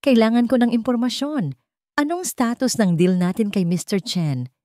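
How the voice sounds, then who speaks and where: A woman's voice: fairly high pitch, moderate pace, dark tone, no room sound, clean studio sound, voice pushed up, close to an everyday voice. A woman with a Filipino accent voicing a troubled, commanding businessman husband in an audio drama, terse and urgent.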